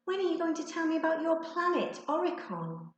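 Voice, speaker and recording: A woman's voice: very high-pitched, sing-song, fairly quick, muffled recording, voice pushed up. A woman voicing a young schoolboy, exasperated, pleading and bossy, in a children's storybook reading. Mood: pleading, protesting. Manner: emphatic, insistent delivery. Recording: roomy, echoey sound.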